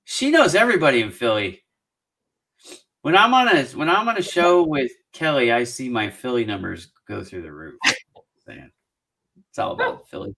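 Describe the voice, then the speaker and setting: A man hosting a relaxed live-stream Q&A about job hunting. His voice is bright and his pitch swings widely as he jokes and restarts his sentences, talking in a loose, chatty way. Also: creaky, a little hiss or hum. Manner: animated, joking, talks fast with restarts. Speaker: career advice show host.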